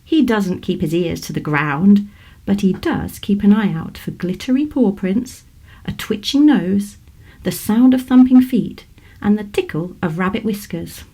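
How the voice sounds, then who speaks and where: A woman with a British accent reading a children's story aloud in an expressive storytelling manner. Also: medium pitch, a little slow, slightly rough, poor audio. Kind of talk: scripted children's story narration.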